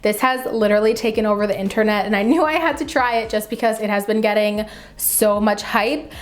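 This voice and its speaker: A woman beauty vlogger chatting casually and enthusiastically to camera, with a slightly dry, raspy voice from getting over the flu. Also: medium pitch, brisk. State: getting over the flu, mild sore throat. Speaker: beauty vlogger, channel host.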